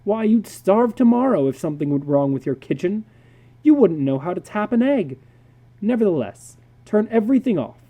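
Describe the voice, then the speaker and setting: Reader voicing an emphatic male psychologist, pitched high, mellow. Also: big pitch swings, moderate pace. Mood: emphatic, admonishing. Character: David McLean, brisk blunt worldly male psychologist.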